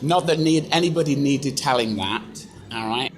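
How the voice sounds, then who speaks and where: An English man giving a chatty, self-deprecating live software demonstration to a conference audience, with lots of fillers. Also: mid-range voice, moderate pace, slight background noise. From England. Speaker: software presenter. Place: conference room.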